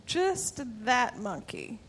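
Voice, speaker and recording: A woman co-hosting a relaxed podcast, full of teasing back-and-forth. Her voice sits somewhat on the higher side. She keeps the banter light and playful. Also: very slow. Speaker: podcast co-host. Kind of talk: casual podcast chat.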